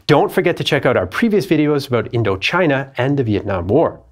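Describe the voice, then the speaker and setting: Lively male documentary narrator. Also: mid-range voice, fairly quick. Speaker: history documentary host. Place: dark studio set.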